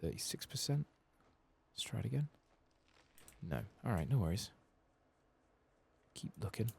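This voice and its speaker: Man, soft-spoken ASMR gamer, gravelly, lively intonation, casual sweary muttering, keyboard clicks. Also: fairly low pitch, a little slow. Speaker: ASMR gaming streamer. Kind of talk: soft-spoken, sometimes whispered, ASMR game playthrough commentary.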